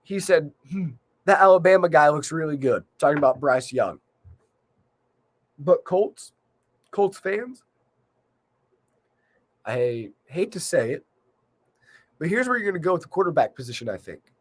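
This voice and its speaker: A man hosting a solo sports recap in a measured, conversational way with emphasis on key points, his voice slightly worn by a cold. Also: on the high side, animated. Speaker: sports podcast host.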